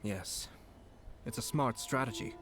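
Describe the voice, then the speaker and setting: An actor playing a calm, calculating nobleman in a video game, speaking in a measured, formal, deliberate way. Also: medium pitch, slight background noise, natural voice. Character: composed, cunning nobleman, calm and calculating commission head. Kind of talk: scripted video game dialogue. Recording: background music under the voice.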